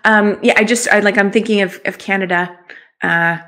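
A woman's voice: medium pitch, fairly even intonation, normal speed, a bit bright. Woman host on a video call, midrange voice, upbeat and agreeing a lot. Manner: enthusiastic, affirming. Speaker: show host. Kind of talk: conversational interviewing. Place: small room at home, indoors.

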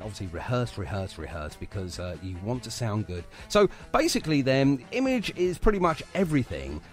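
A man hosting a radio show in a chatty, effusive interview style. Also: medium pitch. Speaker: radio host.

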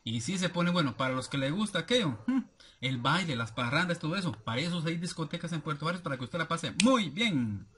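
A man in his thirties with a Guatemalan accent and a husky voice, chatting casually as a vlogger and reading out comments with familiar, rambling asides. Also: a little high, expressive, a little fast, fairly bright tone, a little hiss or hum. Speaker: YouTube vlogger. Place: small cluttered room indoors at home.

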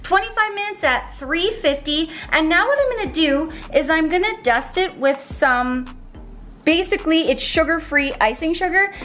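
Bubbly thirties woman, home kitchen vlog, high animated voice. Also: moderate pace, faint music behind. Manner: bubbly, playful, gasps and laughs often. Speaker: fitness cooking vlogger. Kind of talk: casual cooking demo chat.

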